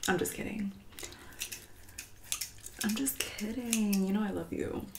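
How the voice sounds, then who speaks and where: A woman in her twenties doing an ASMR roleplay as a catty hairdresser friend, soft-spoken and often whispering, with condescending, passive-aggressive delivery. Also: mid-range voice, gravelly, noisy background. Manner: condescending, passive-aggressive, drawn-out backhanded remarks.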